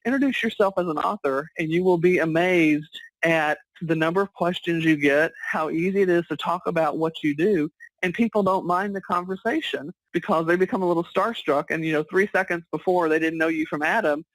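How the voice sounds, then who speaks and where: A woman's voice: on the low side, brisk, no echo, poor audio. A woman with a Texas accent, a business author answering interview questions in long, chatty runs. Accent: Texan. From United States. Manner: chatty, talks in long flowing runs with light laughs. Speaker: guest, author and business coach.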